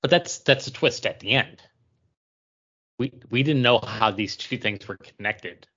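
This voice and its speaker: A male podcast co-host speaks in a casual conversational style. His voice sits at an average pitch. The sound is slightly dull, like a modest home recording. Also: unhurried, fairly bright tone. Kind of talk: casual comic book discussion.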